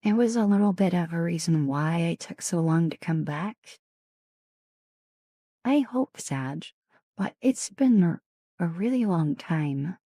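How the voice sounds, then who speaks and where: A woman with a raspy, somewhat low voice chats softly in an ASMR stream, often sinking into whispers. Her delivery is slow and unhurried, with a mellow, dark tone. The sound is dry and close. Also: expressive, no room sound. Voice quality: raspy, deeper than usual. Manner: soft-spoken, often drops into whispers; chatty, greets and thanks viewers. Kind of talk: casual ASMR live-stream chat.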